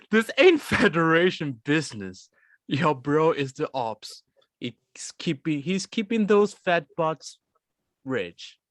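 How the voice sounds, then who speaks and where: An actor playing a menacing, arrogant gang leader in a table read, mocking and gloating with halting, chuckling delivery. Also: medium pitch, sing-song, fairly bright tone, no echo, studio quality.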